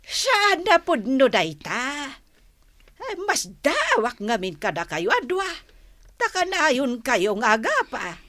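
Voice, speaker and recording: A woman playing an older storekeeper who gives measured, admonishing peacemaking advice in a Philippine radio drama. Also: high-pitched, wildly swooping pitch, pushed and bright, full character voice. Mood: advising. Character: Nana Mila, calm older neighbourhood storekeeper giving peacemaking advice.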